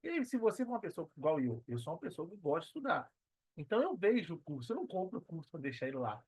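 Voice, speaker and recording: A man's voice: a little high, big pitch swings, rapid-fire, a little mellow, breathy edge. Brazilian man on a podcast telling his own story, casual n emphatic, dry sound no echo. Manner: emphatic, colloquial, often quoting own thoughts. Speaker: podcast guest, affiliate marketer. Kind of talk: casual interview talk, telling own experience.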